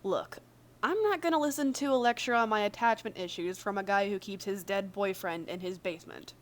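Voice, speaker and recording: A woman's voice: mid-range voice, fairly quick, lightly acted. A woman voicing a defensive, exasperated man in a story, argumentative and sarcastic.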